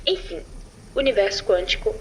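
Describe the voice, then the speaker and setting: A woman's voice: mid-range voice, sing-song, fairly quick, a little hiss or hum, lightly acted, poor audio. A Brazilian woman playing a sarcastic, irritable chief space patroller, recording an informal mission log for an audio drama. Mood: irritated, casual. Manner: informal, sardonic, foul-mouthed.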